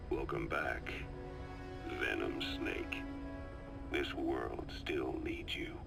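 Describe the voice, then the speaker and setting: A man playing a seasoned, calm and knowing military adviser in a video game, briefing his commander in a measured, confiding storytelling delivery. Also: a bass voice, vocal fry, full character voice. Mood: grave, ominous. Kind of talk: video game voice acting, dramatic briefing monologue. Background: music.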